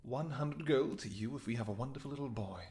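A man voicing a protective royal vampire husband in a scripted audio roleplay, soft-spoken and affectionate, with hesitant pauses. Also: medium pitch, slight background noise, natural voice. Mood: tender.

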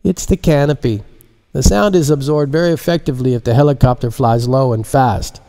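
A man's voice: medium pitch, fairly quick, mellow, pitched above the performer's own voice, lightly acted. A man voicing a composed, polite female scientist in charge, as a character in an audiobook. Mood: explaining.

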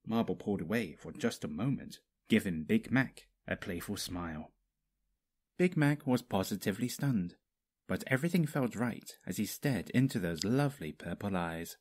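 Low, expressive male story narrator. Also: moderate pace, slightly rough. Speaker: fanfiction narrator.

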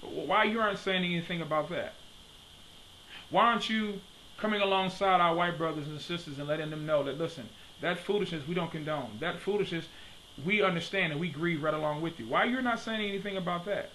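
A man's voice: sits high, fairly quick, sharp tone, a little hiss or hum, poor audio. A man in his thirties from Detroit, giving an emphatic preaching-style commentary to a webcam in his home study and repeating his questions for force. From Detroit, USA. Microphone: webcam at desk.

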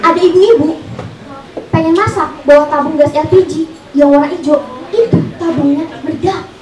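Young woman, high voice, stand-up comedy, small echoey venue, recorded from the audience. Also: normal speed, far from the mic, crowd noise. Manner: performed storytelling with repeated punchlines. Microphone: handheld mic through speakers, recorded from audience. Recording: echoey room, off-mic camera audio.

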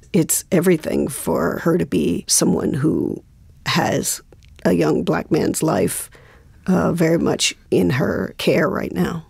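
An actress answering interview questions in a reflective, deliberate, articulate way. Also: female voice, sits low, creaky, a little mellow, husky, no room sound.

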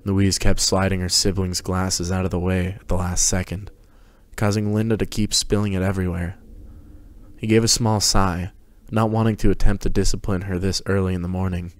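Low, even-toned male narrator. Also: moderate pace. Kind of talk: scripted story narration, dramatic reading.

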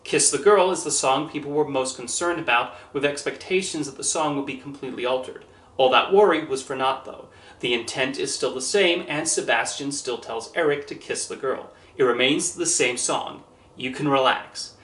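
A man in his thirties reads out a scripted review in an even, earnest manner. His voice sits at an ordinary middle pitch. The small room adds a noticeable echo around him. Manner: measured, earnest, carefully worded delivery. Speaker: movie reviewer. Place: small indoor room with posters and shelves. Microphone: camera at a few feet, standing.

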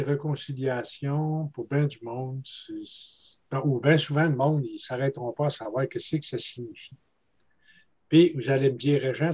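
Older Quebec French man on a video call, slightly high, mellow, rambling. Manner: rambling, repeats words while searching for them. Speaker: guest speaker, traditional elder. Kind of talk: casual talk at a videoconference. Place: room at home with bookshelves. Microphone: earbuds on a video call.

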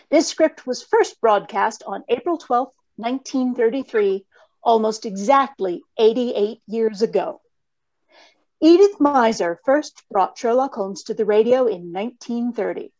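A woman's voice: a little high, a little mellow. A middle-aged woman reading a scripted, formal introduction as host of a performance, over a video call. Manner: formal, measured presenting. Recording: dull video call audio.

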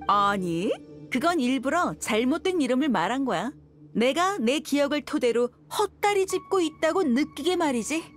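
A woman's voice: high voice, lively intonation, moderate pace. An actress voicing a confident, shrewd young female desert mercenary in a video game, cool and teasing in manner. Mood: confident explaining. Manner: cool, teasing, self-assured. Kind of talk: video game voice acting, story dialogue. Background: background music.

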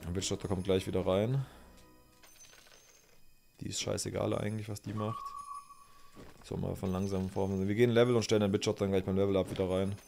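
A man's voice: low voice, level tone, a little fast, vocal fry, long pauses, mellow, soft background music. Young man streaming a game. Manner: chatty, thinks aloud, thanks viewers. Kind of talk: casual game commentary to chat. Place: small indoor room.

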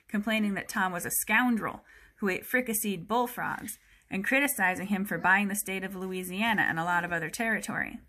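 Female, teacher doing an expressive picture-book read-aloud, mid-pitched, clear explanatory delivery for kids. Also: a little hiss or hum. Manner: expressive, explanatory, pauses to question listeners.

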